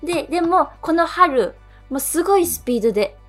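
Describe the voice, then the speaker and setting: A woman's voice: extremely high pitch, lively intonation, a little fast, faint music behind. A woman co-hosting a radio show in casual chat, chiming in often and telling her own light anecdote. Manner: attentive, nods along with frequent short replies, then tells her own anecdote. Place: radio studio.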